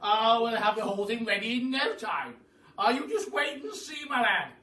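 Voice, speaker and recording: Excitable old storybook duke, kindly, stammering, blustering, high swooping loud voice, echoey room. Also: male voice, normal speed, heavily acted. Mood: excited. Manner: blustering, exclamatory, repeats words. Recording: echoey room, distant mic, faint noise.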